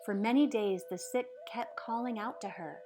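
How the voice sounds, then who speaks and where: A woman narrates a picture book with expressive, measured delivery. Her voice sits at a middle pitch for a woman, with soft music playing behind her. The reading is scripted and shaped as dramatic storytelling.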